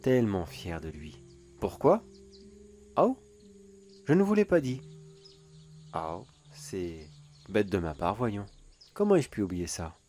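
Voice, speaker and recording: Casting: man doing a low-voiced, theatrical male voyeur, gloating and teasing, creepy story. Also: mellow, a little hiss or hum, close to an everyday voice. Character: obsessive adult male watcher hiding his brother's crimes. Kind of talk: horror story character monologue.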